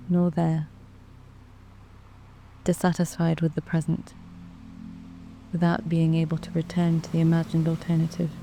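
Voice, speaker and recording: Very slow, hushed British woman, mellow. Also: lowish voice, vocal fry. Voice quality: soft. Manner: calm, soothing, measured with long pauses. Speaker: therapist narrating a guided sleep meditation. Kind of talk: scripted sleep story meditation narration. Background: background music.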